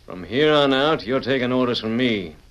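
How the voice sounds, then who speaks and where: An actor playing a smug, domineering outlaw gang boss in an old radio Western, with American frontier speech and a taunting, bossy manner. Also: male voice, mid-range voice, animated, normal speed, a bit bright, natural voice. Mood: threatening. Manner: taunting, bossy, folksy drawling slang.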